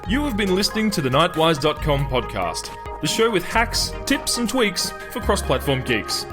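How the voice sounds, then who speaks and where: A man announces a scripted podcast sign-off in a high voice. His pitch moves around in a very lively way, and music plays clearly underneath. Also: moderate pace. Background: music behind the voice.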